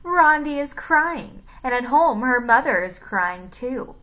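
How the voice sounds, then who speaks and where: A woman's voice: mid-range voice, sing-song, slow, sharp tone, husky, exaggerated voice, poor audio. A woman voicing a mean, sneering male teacher with a sore-throated, sick-donkey French voice in a storybook reading. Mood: gloating. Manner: taunting, menacing.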